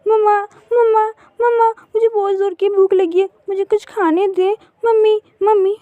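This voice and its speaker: A woman's voice: extremely high pitch, steady tone, moderate pace, no echo, phone-quality sound, pitched above the performer's own voice. A woman voicing a tiny, sweet baby girl bird in a cartoon story, pleading and repeating words like a hungry child.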